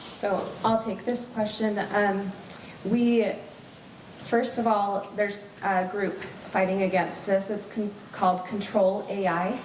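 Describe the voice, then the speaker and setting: Slow woman, distant and echoey. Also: medium pitch, level tone, a little mellow, a little hiss or hum. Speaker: student panelist. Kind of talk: panel presentation. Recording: echoey room, heard from a distance.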